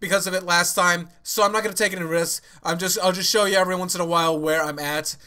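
A man's voice: high voice, fairly even intonation, fast talker, sharp tone. A young man with a stuffy nose and sore throat, chatting casually and laughing as he hosts an anime reaction from his small bedroom on a headset mic. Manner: rambling, laughing, restarts a lot. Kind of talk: casual chat to camera. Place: small bedroom with yellow walls.

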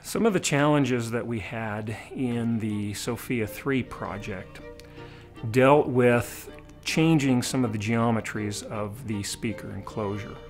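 A middle-aged man, an engineering director, explaining technical work in a measured, formal way for a documentary interview. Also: medium pitch. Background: background music.

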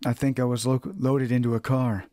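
A man's voice: medium pitch, level tone, brisk, mellow, no echo. A man narrating a horror visual novel as a let's play host, reading aloud and sometimes stumbling and rereading phrases. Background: game music.

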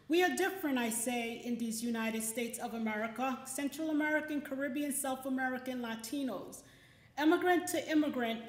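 A middle-aged woman hosting and reading at a poetry event, speaking emphatically into a stand microphone indoors. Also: high voice. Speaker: poetry event host and poet. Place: indoor library event room. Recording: roomy, echoey event space.

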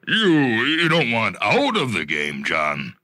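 A man's voice: lowish voice, wildly swooping pitch, slow, pushed and bright, dry recording, clean studio sound, voice pushed up, heavily acted. A man voicing a genial senior corporate executive in a story, hesitant, patronizing and reassuring. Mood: wistful, placating.

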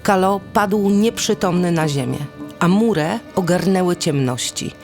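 A woman narrates a dramatic folk tale in a low voice with a gravelly creak. She takes her time, leaving suspenseful pauses, and speaks very slowly. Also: darkish tone. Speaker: storyteller. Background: music.

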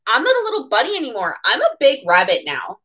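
A woman's voice: high voice, wildly swooping pitch, fast, bright, forceful tone, pitched above the performer's own voice, full character voice. A woman voicing a small boy rabbit from a picture book, eager and insisting he is big. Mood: proudly insistent.

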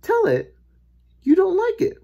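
A woman's voice: very high-pitched, wildly swooping pitch, measured pace, creaky, warm tone, voice pushed up, full character voice. A woman voicing a panicky, demanding lady in a children's storybook, screaming hysterically.

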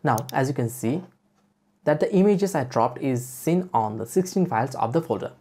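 Female tutorial presenter for screen-recording voice-over; very deep pitch, slightly husky, mellow. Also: animated, moderate pace. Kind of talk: software tutorial narration.

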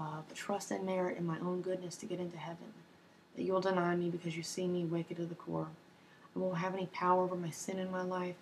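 Soft-spoken hoarse woman, flat and low. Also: a little hiss or hum, low-quality recording. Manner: soft-spoken, slow and even, with ums and uhs. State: hoarse from a cold. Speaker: ASMR creator. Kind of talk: soft-spoken ASMR reading of a personal testimony. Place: small home office indoors.

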